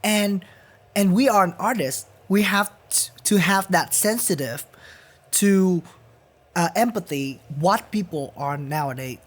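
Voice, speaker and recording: A man's voice: high voice, expressive, measured pace. Earnest man with a Vietnamese accent. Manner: earnest and emphatic, repeating phrases to press a point. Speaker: guest musician. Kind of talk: casual interview conversation. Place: recording studio.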